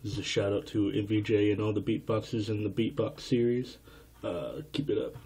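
Low-key young man from Illinois. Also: fairly even intonation, brisk, dark tone. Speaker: beatboxer. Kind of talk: casual video shout-out. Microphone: webcam.

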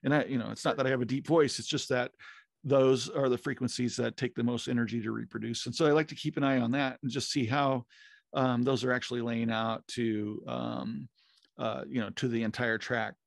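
A middle-aged man with a gravelly, fry-edged voice talks shop at an even pitch, pausing often on fillers and restarting his sentences. The sound is close and dry, with no room around it. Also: dry recording. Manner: rambling, many fillers and restarts. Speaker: podcast co-host. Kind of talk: casual technical chat. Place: home music studio. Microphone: studio mic with headphones, video call.

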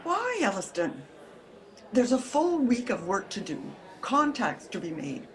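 An older actress playing a determined, exasperated suffragist in a radio mystery. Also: female voice, fairly high pitch, very animated, measured pace, poor audio. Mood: firm exasperation. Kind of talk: old-time radio play acting. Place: home room, video call.

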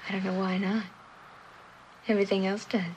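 A woman's voice: medium pitch, level tone, normal speed, a little mellow, close to an everyday voice. Woman playing a flip, guarded young tough girl, noisy soundtrack. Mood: wary, sardonic. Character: tough, wary girl raised in juvenile asylums, wisecracking. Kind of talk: vintage television suspense drama acting.

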